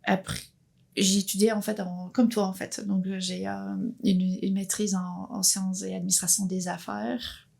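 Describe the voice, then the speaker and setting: Interview guest: woman, 50s, husky and a bit gravelly, earnest and reflective. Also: medium pitch, flat delivery, normal speed, a little mellow. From Belgium. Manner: earnest, thoughtful, frequent restarts. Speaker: guest entrepreneur.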